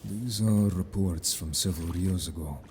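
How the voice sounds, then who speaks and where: Man acting a deep, dark-voiced, stern merfolk warrior. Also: normal speed, slight background noise. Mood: grave, probing. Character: stern, blunt merfolk companion, suspicious and ruthless toward humans. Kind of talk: audio drama acting.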